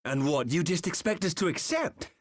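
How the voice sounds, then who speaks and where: An actor playing a gruff, distrustful hero in a video game, blunt and dryly exasperated. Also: male voice, fairly high pitch, animated, moderate pace, no room sound, studio quality, natural voice. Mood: angry, suspicious. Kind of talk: video game cutscene acting.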